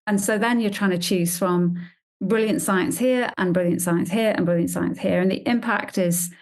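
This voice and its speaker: Interview guest, female scientist, slightly low pitch, conversational and emphatic. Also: normal speed. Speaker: scientist and grant panel member.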